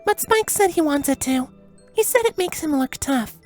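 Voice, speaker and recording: Guy doing a timid girl pegasus, super high, gentle and hesitant, storybook pony. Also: lively intonation, normal speed, soft background music. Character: shy, gentle, modest female winged pony.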